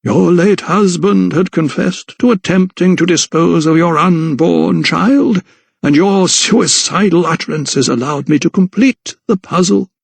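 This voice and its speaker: A man's voice: high voice, creaky, husky, dry recording, pitched above the performer's own voice, exaggerated voice. A man voicing a sinister, condescending elderly vicar, a religious fanatic, in an audiobook. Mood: smugly fervent. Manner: slow, sanctimonious, unctuous. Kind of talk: dramatised audiobook character dialogue.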